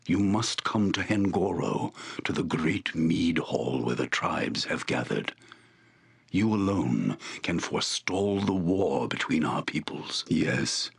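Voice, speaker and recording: A grave barbarian seer from a fantasy game, his voice extremely low and husky. He speaks slowly and solemnly, weighing each formal, archaic phrase like a portent. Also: exaggerated voice. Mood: grave foreboding. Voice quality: gravelly, raspy, breathy. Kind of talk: fantasy video game voice acting.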